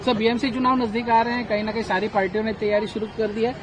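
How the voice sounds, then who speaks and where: A man's voice: sits high, little pitch movement, fast. A man working as a news reporter, asking questions at an outdoor press gathering. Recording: dull lo-fi sound, outdoor crowd murmur.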